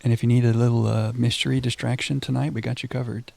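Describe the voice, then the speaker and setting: Mellow, creaky-voiced male game streamer. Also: medium pitch, little pitch movement, quick pace, no echo. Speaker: livestream game host. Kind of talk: casual chat with viewers, reading game text aloud.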